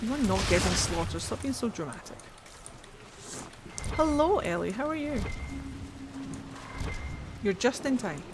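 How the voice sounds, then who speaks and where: A woman's voice: fairly high pitch, animated, unhurried, long pauses, noisy background. A woman with a Scottish accent streaming a game, reading in-game lore aloud and chatting casually with her viewers, swearing freely. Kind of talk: casual gameplay commentary and dramatic codex reading.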